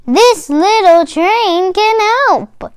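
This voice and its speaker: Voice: very high voice, animated, very slow, no room sound, studio quality, pitched above the performer's own voice, exaggerated voice. A child voicing a proud grandfather pig from a storybook, speaking in an emphatic, declaring way. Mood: reassuring. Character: proud grandfather pig driving a toy train.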